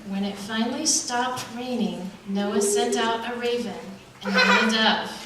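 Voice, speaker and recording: A woman's voice: fairly high pitch, steady tone, unhurried. Echoey, distant woman narrating a story. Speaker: narrator of a puppet show. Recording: roomy echo, distant mic, loud noise.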